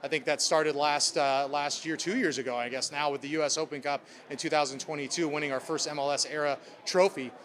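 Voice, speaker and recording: Guy exec doing press q&a, slightly higher voice, upbeat corporate talk. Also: fairly even intonation, a little fast, few pauses, fairly bright tone. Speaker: sports club president at a press conference. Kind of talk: answering reporters' questions.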